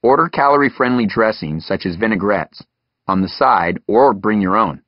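A man's voice: lowish voice, animated, moderate pace, no echo, dull audio. A man reading a scripted instructional audiobook as its author, giving direct, advisory guidance. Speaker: doctor and author reading his own audiobook.

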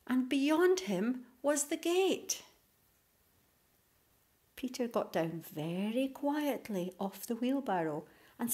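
A woman reading a children's story aloud as scripted narration, in an expressive storytelling manner. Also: a little high. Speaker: storybook reader.

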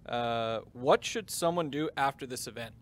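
A man moderating a webinar panel, reading audience questions in a brisk, upbeat way. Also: mid-range voice. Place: stage with dark curtains.

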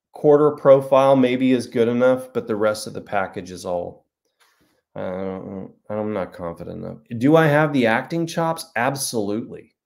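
A man's voice: medium pitch, vocal fry, darkish tone. A man in his forties, an author hosting a casual livestream from a small room at home, talking to a webcam and answering viewer comments in a rambling, self-deprecating way. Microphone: webcam at desk.

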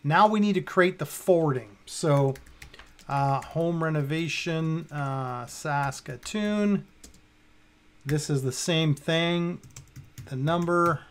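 A man's voice: a little high, takes it slowly. A man in his fifties from Canada casually talking through a step-by-step screen tutorial as he does it. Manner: talks himself through each step. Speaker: tutorial presenter. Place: indoors, at a computer.

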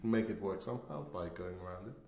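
A man chatting casually as a podcast host, speaking haltingly. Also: sits low, expressive, creaky, darkish tone. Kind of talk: casual chat. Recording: roomy, echoey sound.

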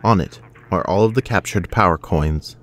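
A man narrating an audio drama, reading scripted scene descriptions in a measured way. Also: sits low, fairly bright tone.

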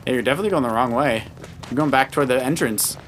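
A man's voice: medium pitch, racing, background noise. A man giving casual, encouraging gameplay commentary, explaining moves to the player as he goes. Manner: encouraging, instructive. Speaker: co-host of a family Let's Play, the dad. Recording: game music behind the voice. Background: video game music.

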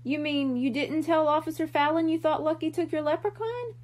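A woman's voice: sits high, fairly quick, darkish tone, pitched above the performer's own voice, natural voice. A woman voicing a curious, puzzled young boy in a storybook mystery.

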